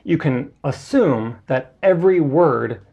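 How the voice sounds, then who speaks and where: A man's voice: a little high, animated, slow, gravelly, dark tone, highs cut off. A young man, a guest and Japanese tutor, explaining pronunciation in a loose, casual way with lots of filler words. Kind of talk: casual conversational interview.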